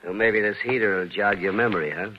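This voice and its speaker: An American actor playing a menacing, sardonic gangster in an old radio crime drama, with slangy tough talk. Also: male voice, fairly low pitch, quick pace, natural voice. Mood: menacing, sneering. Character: menacing jealous gangster husband, sardonic gunman. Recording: dull noisy old radio recording.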